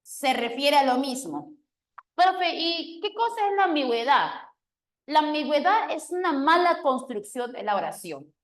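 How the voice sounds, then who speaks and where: A woman teacher giving an online exam-prep grammar class, explaining step by step and checking in with her students as she goes. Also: high-pitched.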